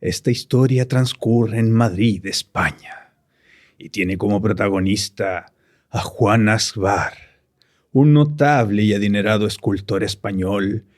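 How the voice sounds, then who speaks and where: A sinister master of horror tales. He speaks very slowly in a low voice, with theatrical courtesy and gleeful menace, as in an old radio play. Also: a little mellow. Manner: theatrical, slow, courtly, taunting.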